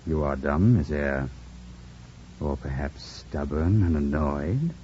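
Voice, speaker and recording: An actor playing a stern, abrupt master of the house near forty in an old radio drama, formal and commanding. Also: male voice, very deep voice, takes it slowly, warm tone, a little hiss or hum. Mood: imperious. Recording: muffled vintage radio broadcast.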